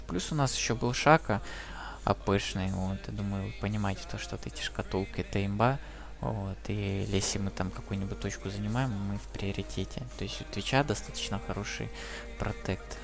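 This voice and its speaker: A man's voice: on the low side, vocal fry. A young man giving casual gameplay guide commentary, rambling with lots of fillers. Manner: rambling, many fillers like "вот" and "то есть". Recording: music playing under the voice.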